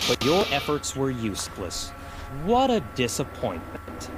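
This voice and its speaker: A man's voice: medium pitch, huge pitch swings, measured pace, heavy background noise. An actor playing a cold, haughty young male student in an English game dub, condescending and accusatory. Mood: disdainful.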